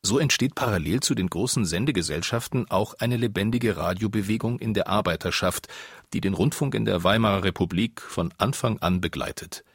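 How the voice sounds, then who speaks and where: A man with a deep, husky voice narrates a documentary from a script. The sound is dry and free of room echo. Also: brisk.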